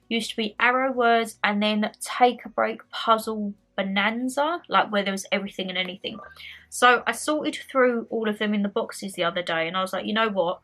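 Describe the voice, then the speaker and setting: A woman's voice: on the high side, sharp tone, low-quality recording. Chatty woman, a bit nasal. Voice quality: nasal, stuffy. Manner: chatty, rambling, repeats phrases. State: bunged up with a cold. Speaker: vlogger. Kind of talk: casual chat to camera. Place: at home, in her room.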